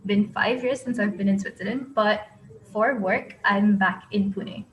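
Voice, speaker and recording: A woman's voice: mid-range voice, steady tone, measured pace, lo-fi sound. A woman in her twenties answering interview questions casually, talking with frequent "like" and "kind of" fillers. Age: 20s. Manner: chatty, frequent "like" and "kind of", laughs easily. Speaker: interviewee, architecture graduate.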